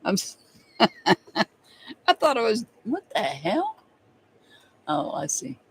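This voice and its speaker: A man's voice: high voice, huge pitch swings, slow pace, husky. A man from the United States with a slight Southern accent, hosting a live tarot stream in a chatty way, laughing often and answering viewers by name. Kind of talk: casual live-stream chat.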